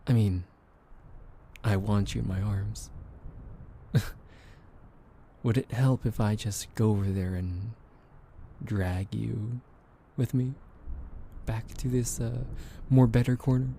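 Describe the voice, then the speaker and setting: A young man talks close to the mic in a soothing, teasing ASMR roleplay, slow and unhurried. His voice is warm and mellow with a gravelly edge and an occasional soft whisper. A faint hiss sits behind him. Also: on the low side. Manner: gentle, teasing, soothing, with soft laughs and hesitant fillers. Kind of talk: soft ASMR roleplay, boyfriend comforting listener.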